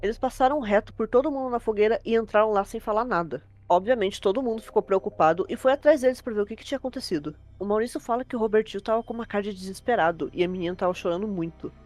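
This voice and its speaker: Female Brazilian storyteller, medium pitch, brisk and fluent, informal creepypasta narration. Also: darkish tone, no echo. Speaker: host and storyteller.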